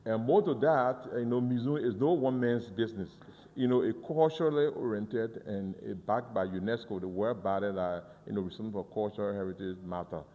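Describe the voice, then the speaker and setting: A man explains a museum in an earnest, halting way, often stumbling over and restarting his words. His voice is low-pitched and mellow. A long reverb from the museum hall trails behind him. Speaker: museum guide. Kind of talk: guided tour interview.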